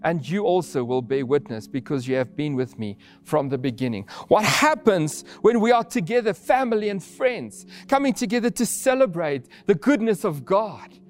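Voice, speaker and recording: A man whose first language is Afrikaans, preaching an earnest sermon with restarts and soft laughs. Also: high voice.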